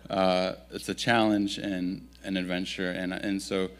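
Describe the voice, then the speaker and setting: A man in his thirties who grew up in Italy, giving a relaxed, self-deprecating missionary update in a church at a stand mic. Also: low-pitched, a little slow. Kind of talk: casual storytelling talk to a congregation. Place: church sanctuary, indoors. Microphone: stand microphone.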